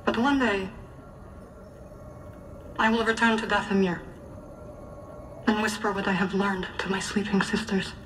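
A woman's voice: mid-range voice, background noise, natural voice. An actress playing a proud, aloof witch warrior in a video game cutscene, speaking formally with dry humour. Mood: aloof, solemn. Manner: deliberate, formal, deadpan.

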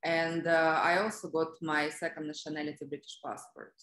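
Low-voiced Russian woman, echoey room. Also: flat delivery, normal speed, a bit bright. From Russia (Siberia). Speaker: interview guest, business development professional. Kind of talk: conversational interview, telling career story. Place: indoor room on a video call. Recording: roomy, echoey video call.

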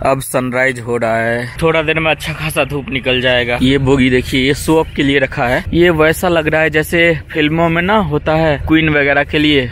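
A young man chats through a travel vlog, talking in a loose, conversational way as he films. His voice sits at an ordinary pitch. Clear outdoor and vehicle noise runs behind him. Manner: chatty, conversational, thinking aloud while filming. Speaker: travel vlogger. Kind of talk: casual travel vlog narration. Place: outdoors at a quiet hill railway station, then inside a train. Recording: noisy outdoor and train recording.

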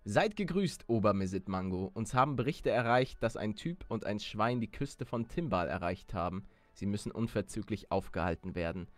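Man playing a low, solemn village informant. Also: no room sound, natural voice. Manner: measured, formal reading. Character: stern formal game villager reporting enemy orders.

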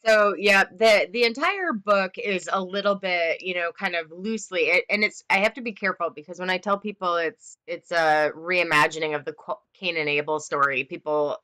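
A woman in her thirties, an author guest on a video call from home, telling stories in a lively, animated way. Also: on the low side, moderate pace, few pauses. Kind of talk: casual video-call interview. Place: home room.

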